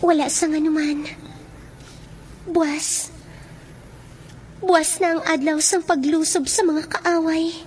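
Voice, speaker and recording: An actress playing a tender, lovestruck young harpy princess in an earnest radio melodrama. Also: female voice, very high voice, fairly even intonation, slow, long pauses, darkish tone, faint music behind. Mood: tender, anxious. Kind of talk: radio drama acting.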